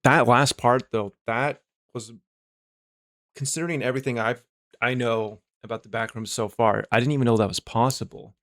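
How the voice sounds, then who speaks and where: Casual male streamer, mid-pitched voice. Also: fairly even intonation, normal speed, fairly bright tone. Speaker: streamer reacting to videos. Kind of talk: casual reaction commentary.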